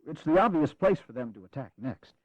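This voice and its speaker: An actor playing a grave, courteous American Revolutionary general in an audio drama, speaking in a measured, formal way. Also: male voice, on the high side, sing-song, dry recording. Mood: grave, resolute. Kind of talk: dramatized history audio acting. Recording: dull, lo-fi 1980s audio drama.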